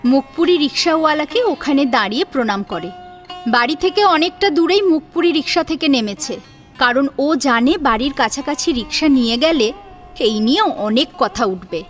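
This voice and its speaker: A woman's voice: sits high, lively intonation, moderate pace. A woman narrating an audio story in a dramatic, scripted storytelling style. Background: faint background music.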